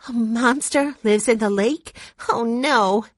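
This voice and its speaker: A woman voicing a shy young girl who speaks softly. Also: mid-range voice, animated, moderate pace, no echo, clean studio sound, pitched above the performer's own voice, lightly acted. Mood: timid. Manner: soft, shy. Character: timid, soft-spoken young girl.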